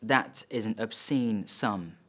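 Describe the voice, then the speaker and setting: A man's voice: on the low side, unhurried, fairly bright tone. A male actor puts on a proud older father of about sixty for a stage monologue. He is scornful and sneering. His reproaches come out drawn and emphatic, each phrase pressed home.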